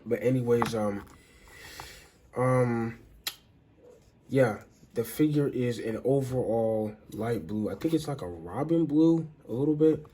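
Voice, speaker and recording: A man with a congested voice, casually reviewing a toy in a rambling, halting way. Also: mid-range voice, warm tone, breathy edge. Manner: rambling, halting, restarts sentences often. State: congested, stuffy nose.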